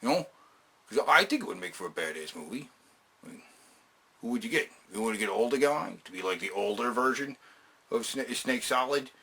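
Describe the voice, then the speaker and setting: A man with a very sharp, forceful voice and a gravelly rasp gives casual countdown commentary. He is emphatic and opinionated, swears heavily and often circles back to repeat his point. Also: mid-range voice, expressive, fairly quick, long pauses. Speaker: video host counting down a top ten list.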